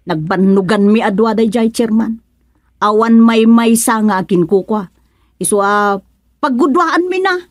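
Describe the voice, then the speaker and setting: A woman's voice: on the high side, measured pace, no room sound, clean studio sound, close to an everyday voice. A woman playing an aggrieved, stubborn wife in a radio drama-comedy, plaintive and emphatic, with an Ilocano accent. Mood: stubborn, insistent.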